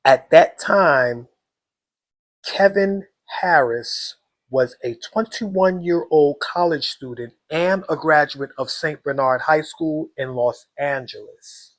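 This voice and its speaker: A man with a hoarse voice, hosting an astrology reading and talking casually and directly to his viewers. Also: a little high. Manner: slow, deliberate, talks straight to viewers. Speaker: astrology channel host.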